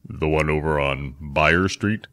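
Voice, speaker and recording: A man voicing Phil, a stern scaled male creature who talks low, slow and scolding. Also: bright, forceful tone, exaggerated voice. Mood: dry.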